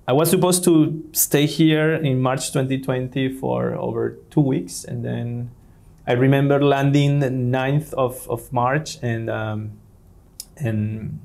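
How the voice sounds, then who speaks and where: A man's voice: mid-range voice, gravelly, darkish tone. A man from Venezuela, a guest in an interview, talking with many fillers and restarts. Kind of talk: conversational interview.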